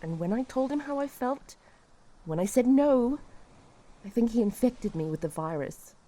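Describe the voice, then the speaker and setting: A woman acting a despairing refugee who confesses old guilt in a reflective, halting way, in an audio drama. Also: fairly high pitch, expressive, warm tone, rough recording. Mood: regretful, defeated.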